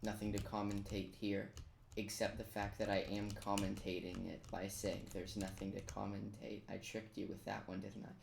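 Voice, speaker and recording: A man's voice: lowish voice, flat delivery, moderate pace, gravelly. A man giving a casual, step-by-step game walkthrough with playful asides. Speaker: gamer giving a tutorial. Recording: roomy echo, noise, keyboard clicking. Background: computer keyboard typing and clicks.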